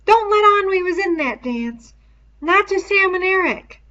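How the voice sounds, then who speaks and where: A woman voicing an anxious, stubborn schoolboy in a storybook, shrill and defensive, with ungrammatical boyish speech. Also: animated, unhurried, bright, forceful tone, muffled recording, heavily acted. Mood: insistent. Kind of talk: storybook read-aloud character voice.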